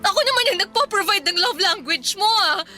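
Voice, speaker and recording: A woman's voice: very high voice, lively intonation, fairly quick, sharp tone, heavily acted. A woman playing a heartbroken, clingy young ex-girlfriend in a radio drama, pleading and indignant with dramatic emotion. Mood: hurt, tearful. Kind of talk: Filipino radio drama acting. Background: background music.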